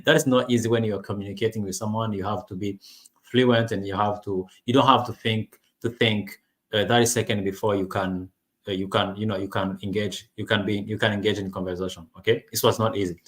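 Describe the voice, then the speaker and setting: A man from Rwanda in his thirties speaks English, which is not his first language, in a voice on the lower side. His casual talk to camera is halting, full of restarts and fillers as he searches for words. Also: fairly even intonation. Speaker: online business vlogger.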